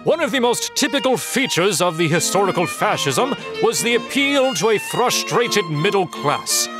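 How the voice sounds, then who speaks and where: A man's voice: sits high, sing-song, moderate pace, music in the background. A man reading scripted narration for a comic dub in a grand, dramatic manner.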